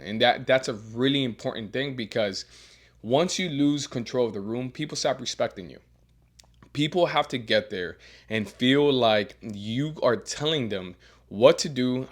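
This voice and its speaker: A man who coaches strength and teaches as a professor, giving earnest career advice with a deeper voice and frequent repeated words as he restarts. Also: moderate pace. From Miami. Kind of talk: advice monologue.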